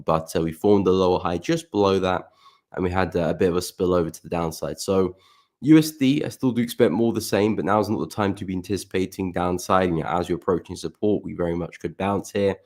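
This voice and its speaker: A man hosting a live market analysis stream, his voice croaky as he keeps clearing his throat, chatting relaxedly and greeting viewers by name. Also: sits low, darkish tone. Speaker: forex trading livestream host.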